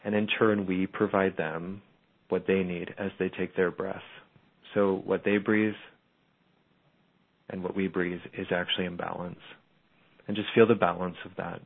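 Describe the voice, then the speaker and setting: A man leading a slow, soothing guided meditation as a spiritual guide on a call-in show. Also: low voice, little pitch movement, vocal fry. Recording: phone-line audio.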